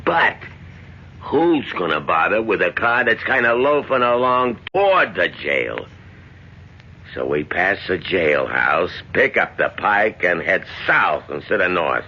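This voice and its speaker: An actor playing a tough escape-artist convict who talks gruff and suspicious, with a streetwise edge, in a radio drama. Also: male voice, sits low, big pitch swings, measured pace, slight background noise, exaggerated voice. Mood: commanding. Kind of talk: 1970s radio drama acting. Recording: dull old radio broadcast.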